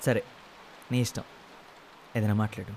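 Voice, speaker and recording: An actor playing a lovesick young engineering student, candid and teasing, in naturalistic film dialogue with some English mixed in. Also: male voice, lowish voice, flat delivery, moderate pace, darkish tone, a little hiss or hum, lightly acted. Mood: easygoing. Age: young adult. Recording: film soundtrack with music underneath.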